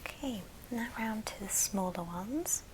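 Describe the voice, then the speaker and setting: A woman speaking in a breathy, unvoiced whisper, gently presenting items in an ASMR show and tell with pauses between short phrases. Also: mid-range voice, animated, background noise. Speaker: ASMR video host.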